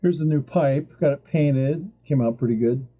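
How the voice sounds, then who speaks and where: A man's voice: on the high side, moderate pace, lo-fi sound. A man thinking aloud as he works through a boat repair, in casual DIY narration. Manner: thinking aloud while working. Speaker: boat owner doing a repair. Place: on a small boat.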